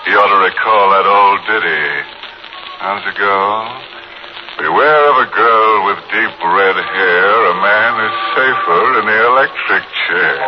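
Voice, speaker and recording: An actor playing a droll, macabre host narrating a 1940s radio mystery in a wry, mock-sinister manner. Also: male voice, low voice. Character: droll, macabre male horror-show host. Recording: muffled 1940s radio recording under music.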